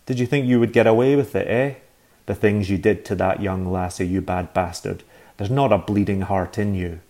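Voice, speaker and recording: A man's voice: low voice, little pitch movement, normal speed, warm tone. A man voicing a bitter, drunken mother who hisses insults down a phone line. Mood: venomous. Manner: hissing, venomous.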